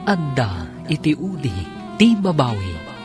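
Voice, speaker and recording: A man delivers a dramatic radio drama introduction. He speaks slowly with sweeping intonation, over clear background music. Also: mid-range voice, very animated. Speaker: drama series announcer.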